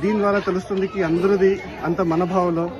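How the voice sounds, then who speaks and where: A man's voice: sits high, level tone, fairly quick, muffled recording. A middle-aged man giving an interview, mixing in English words. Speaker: community member being interviewed. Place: outdoors by festival canopy. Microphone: handheld reporter microphone. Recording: loud crowd behind.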